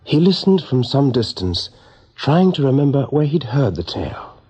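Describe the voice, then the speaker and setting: A man giving expressive, measured narration for a radio drama, with a husky voice. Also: medium pitch. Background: soft music.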